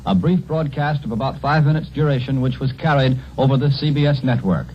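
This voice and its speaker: Old 1930s radio news guy, medium pitch, muffled recording with some hiss. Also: fairly quick. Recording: dull, noisy 1930s radio broadcast recording.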